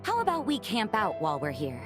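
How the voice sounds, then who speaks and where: A woman voicing a gentle young vampire in a video game cutscene, speaking politely and thoughtfully with formal wording and soft teasing. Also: mid-range voice, wildly swooping pitch, fairly quick, over music. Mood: tender, shy. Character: gentle revenant woman, a vampire who loves cooking.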